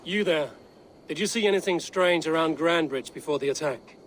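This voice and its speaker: Man playing blunt Viking protagonist, somewhat raised pitch, businesslike game dialogue, light background noise. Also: normal speed, close to an everyday voice, poor audio. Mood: matter-of-fact. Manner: terse, businesslike. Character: grim, direct Viking warrior hero. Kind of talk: video game dialogue acting.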